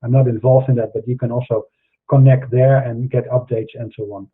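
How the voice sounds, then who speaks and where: A man's voice: medium pitch, little pitch movement, fairly quick, husky, narrow, tinny audio. A middle-aged man with a Dutch accent, hosting an online show and preaching earnestly to his viewers, with many fillers and restarts. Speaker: host. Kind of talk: monologue to camera in an online show.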